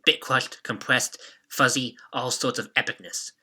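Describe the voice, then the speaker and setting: A man's voice: medium pitch, fairly even intonation, measured pace, heavy background noise. A man hosting a game retrospective, giving scripted commentary with casual asides in a jokey, mock-dramatic manner.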